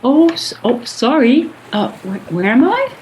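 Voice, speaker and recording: Halting, tipsy, coy woman acted. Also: high voice, big pitch swings, a little mellow, slight background noise, poor audio. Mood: flustered. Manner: halting, stammering. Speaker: actor in a radio play. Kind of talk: radio play dialogue.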